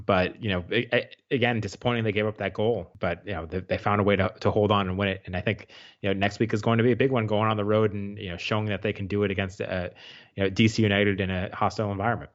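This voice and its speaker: A man co-hosting a soccer podcast, talking quickly in casual chat with frequent false starts. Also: fairly low pitch, fairly even intonation. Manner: fast, with repeated false starts. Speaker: podcast co-host. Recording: dull lo-fi sound.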